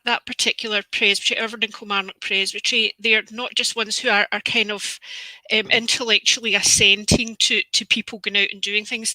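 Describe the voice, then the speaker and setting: A woman's voice: mid-range voice, sharp tone, no room sound, rough recording. A woman co-author speaking earnestly, often repeating words as she works through her thoughts. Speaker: book author. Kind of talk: casual discussion.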